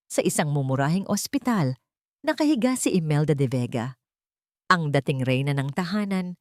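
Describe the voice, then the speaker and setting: A Filipino woman reads a dramatic story in a scripted narration style. Her voice sits fairly low, and the recording is dry and close. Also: no echo.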